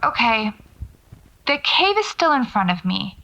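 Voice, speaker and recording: A woman's voice: fairly high pitch, very animated, unhurried, gravelly, pushed and bright, full character voice, rough recording. A woman acting as a wry, stubborn paranormal investigator in an audio drama, talking to herself as she records field notes. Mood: wary, focused. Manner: muttering to herself, sardonic, self-coaching.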